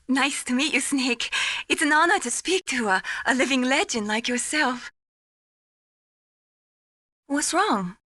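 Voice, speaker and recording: A young game tech expert with a fairly high, bright voice. She is friendly and earnest, explaining things politely, in a dry, close recording. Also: lively intonation, slow, long pauses, no room sound, exaggerated voice. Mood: playful, admiring. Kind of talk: video game voice acting.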